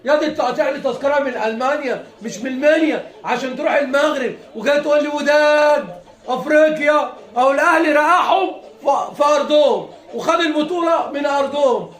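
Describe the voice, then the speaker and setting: A hoarse Egyptian man with a high voice rants fast and heatedly about football, laughing between his lines. His worn voice bounces around an echoey room. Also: a bit bright. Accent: Egyptian Arabic. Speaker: football fan. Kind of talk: impassioned rant.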